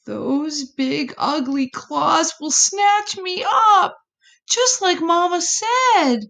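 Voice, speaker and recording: A woman's voice: extremely high pitch, expressive, very slow, creaky, a bit bright, voice pushed up, heavily acted. A woman voicing a plucky, boastful little boy possum for a children's storybook, in eager, breathless exclamations. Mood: frightened.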